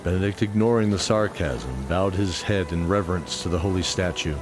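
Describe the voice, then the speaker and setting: A man reading scripted fantasy audio drama narration in a measured storytelling manner. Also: sits low. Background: music.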